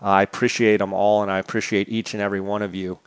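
A man's voice: fairly low pitch, little pitch movement, quick pace, clean tone, dry recording, highs cut off. A man hosting his podcast, reading sponsor messages in a casual way with many filler sounds and restarts. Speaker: podcast host. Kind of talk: podcast intro with sponsor reads.

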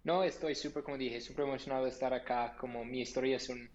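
A man's voice: mid-range voice, steady tone, fast talker, a little mellow, highs cut off. A man from the United States with an American accent, a startup founder speaking as a podcast guest in Spanish, hesitant with many fillers and restarts. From Los Angeles, United States. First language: English. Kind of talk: podcast interview.

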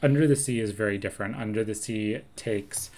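A man's voice: fairly low pitch, a little fast, a little mellow. A man co-hosting a podcast, talking through song lyrics in a thoughtful, analytical way and quoting lines with relish. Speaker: podcast co-host. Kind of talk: casual chat.

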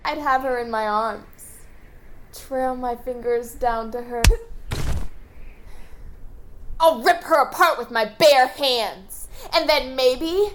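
An actress playing a menacing, unhinged survivor in an audio drama, taunting in a theatrical, drawn-out, sing-song way. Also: female voice, high voice, roomy sound, in a large hall, slight background noise. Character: menacing, taunting, unhinged young woman survivor hungry for feeling. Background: loud music.

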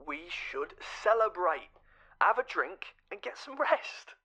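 An actor playing an affectionate, proud partner in an audio drama, gently coaxing and evasive. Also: male voice, sits high, big pitch swings, takes it slowly, exaggerated voice, poor audio. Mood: soothing, coaxing.

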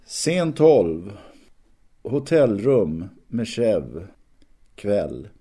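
Guy announcing scenes, deepish husky voice, scripted, short lines. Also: big pitch swings, dark tone.

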